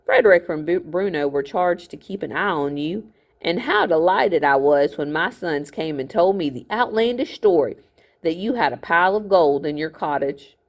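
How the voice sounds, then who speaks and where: A woman's voice: lowish voice, lively intonation, moderate pace. A woman voicing a greedy, fat older miller in a storybook, a smug villain with a falsely genteel, gloating manner.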